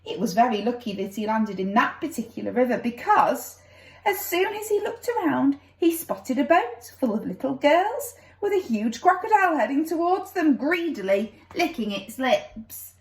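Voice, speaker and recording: A woman's voice: high-pitched, big pitch swings, moderate pace. A woman reading a children's story expressively, with dramatic, playful narration, recorded in an echoey room. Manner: dramatic, playful with sound effects.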